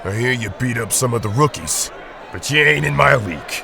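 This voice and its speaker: A man's voice: mid-range voice, lively intonation, a little fast, gravelly, noisy background. A man playing a menacing, mocking older cage fighter in an audio drama. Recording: loud music and roaring crowd behind.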